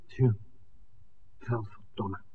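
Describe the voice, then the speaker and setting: A man's voice: fairly low pitch, fairly even intonation, very slow, breathy edge, heavily acted. An actor playing a wry, hesitant cameraman in a 1960s Dutch radio drama. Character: Mark, wry hesitant cameraman hiding in a church. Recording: thin, phone-like old radio recording.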